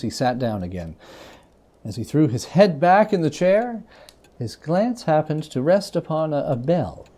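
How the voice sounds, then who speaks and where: A male storyteller with a mellow voice and a very lively melodic range. He reads classic ghostly fiction dramatically, leaning theatrically into his phrases. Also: fairly high pitch. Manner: theatrical, expressive, emphatic phrasing. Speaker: reader.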